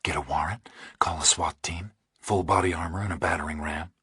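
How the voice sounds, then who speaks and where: Practical, wary female investigator, played by a male narrator: breathy half-whisper, hushed, questioning, dry close sound. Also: deep voice, steady tone, a little fast, dry recording, exaggerated voice. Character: wary, practical woman investigator working alongside partner. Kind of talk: audiobook thriller character acting.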